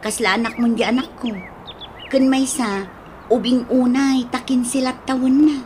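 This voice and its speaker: A woman's voice: sits high, steady tone, moderate pace, a little mellow, a little hiss or hum, natural voice. A woman playing a protective, disapproving mother in a comedic radio drama, admonishing, with a Filipino accent.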